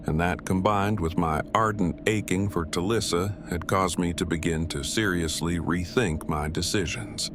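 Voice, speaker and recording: A man's voice: sits low, natural voice. A man playing a stiff, pedantic, earnest inventor in an audio drama, speaking in formal, wordy, precise sentences. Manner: formal, verbose, precise wording. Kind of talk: fan audio drama acting.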